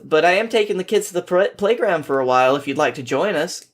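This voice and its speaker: A man's voice: on the high side, expressive, fast talker, pitched above the performer's own voice. A man voicing a kind young woman who tutors children in a story, polite and kindly. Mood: friendly.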